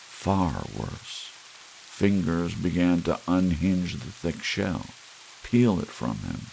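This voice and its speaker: A man reading a short story aloud in a hushed, measured ASMR style, with soft rain behind him. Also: low voice, vocal fry, a little hiss or hum, poor audio. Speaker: audiobook narrator. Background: soft rain sounds.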